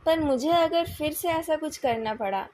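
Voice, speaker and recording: An Indian-accented woman voicing a young male company president in a novel, calm, measured and coolly taunting. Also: high voice, voice pushed up. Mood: calm, cutting.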